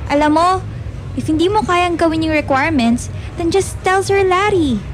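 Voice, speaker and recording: A woman's voice: extremely high pitch, moderate pace, slight background noise. A woman playing an earnest, scolding young female student in a radio drama, speaking with a Filipino accent and mixing in English. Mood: annoyed, scolding. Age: young adult. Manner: indignant, mixes Tagalog and English. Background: faint music.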